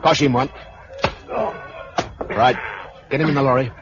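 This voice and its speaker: An actor with a British accent playing a brisk, calculating leader of an ambush in a 1950s radio drama. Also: male voice, medium pitch, over loud music, lightly acted. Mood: brisk, commanding. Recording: muffled 1950s radio recording, clear noise.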